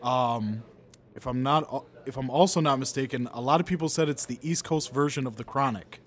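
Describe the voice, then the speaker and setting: A man's voice: medium pitch, moderate pace. A man who is a guest on a casual podcast and talks at length while hedging his claims, recorded in a hookah bar restaurant. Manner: talkative, hedges with if I'm not mistaken. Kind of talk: casual chat. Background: chatter.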